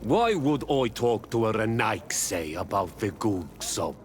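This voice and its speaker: An actor playing a proud, hostile island village chief, gruff and disdainful, in a video game. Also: male voice, fairly low pitch, very animated. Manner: gruff, slow, deliberate, disdainful. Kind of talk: video game dialogue acting. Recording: clear ambient noise behind the voice.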